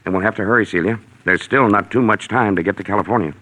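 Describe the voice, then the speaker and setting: A man acting a smooth, scheming businessman in an urgent manner in a 1940s American radio drama, with an American accent. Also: fairly low pitch, fast, pushed and bright. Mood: urgent, insistent. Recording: vintage 1940s radio recording.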